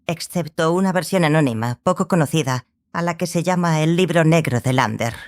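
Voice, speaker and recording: A woman's voice: low voice, fairly quick, darkish tone, no room sound, clean studio sound, close to an everyday voice. A woman playing a brusque, authoritative scholar of legends in an audiobook drama, with a firm, didactic way of talking. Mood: firm, resigned.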